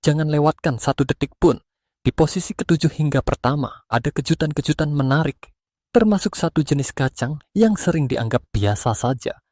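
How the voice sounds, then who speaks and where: Male Indonesian narrator for health explainer, medium pitch, earnest scripted read, close dry recording. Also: darkish tone, dry recording.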